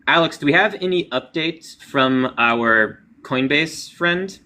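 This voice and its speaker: Excitable male host, bright and lively. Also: a little high, slow pace. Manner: excitable, laughs a lot. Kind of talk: casual chat.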